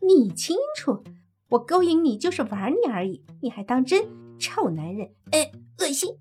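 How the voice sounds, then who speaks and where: Woman doing a shrill furious fox demon girl. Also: very animated, unhurried, faint music behind. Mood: angry scornful. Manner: shrill, scornful, shouting. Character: hot-tempered young female fox demon.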